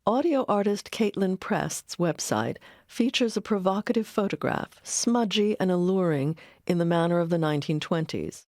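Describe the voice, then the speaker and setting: Female documentary narrator, lowish pitch, scripted read, dry studio sound. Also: lively intonation, normal speed, few pauses, darkish tone, no echo.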